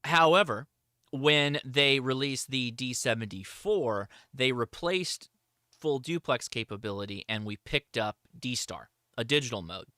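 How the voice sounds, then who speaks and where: A man's voice: mid-range voice, animated, a little slow, bright, forceful tone, no room sound, studio quality. A man hosting a livestream, answering chat questions in a casual, informal, enthusiastic hobbyist way.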